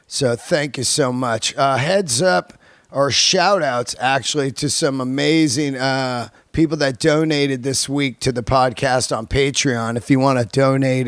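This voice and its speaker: A man's voice: mid-range voice, fairly bright tone, clean tone, no echo, studio quality. A man in his early fifties hosting a podcast, chatting casually to his listeners in a laid-back, slangy, sweary way. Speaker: podcast host and stand-up comedian.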